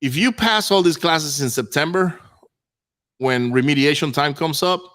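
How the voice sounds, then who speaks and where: A man's voice: on the high side, normal speed, fairly bright tone. Casual man from Venezuela, native Spanish speaker. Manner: informal, says man and brother often. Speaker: interview guest, real estate investor. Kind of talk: casual personal storytelling.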